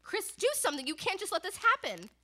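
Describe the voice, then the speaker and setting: A high, sharp-voiced, bloodthirsty onlooker played by a woman in a comic radio melodrama. Her lines come out exclamatory and indignant. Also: very animated, fast, heavily acted. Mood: alarmed, indignant. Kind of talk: student radio comedy melodrama.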